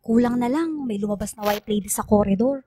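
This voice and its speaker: A woman's voice: fairly high pitch, fairly quick, mellow, a little hiss or hum, natural voice. A young woman playing a skeptical, easily spooked student in a Filipino radio drama, teasing and emphatic. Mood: teasing, uneasy.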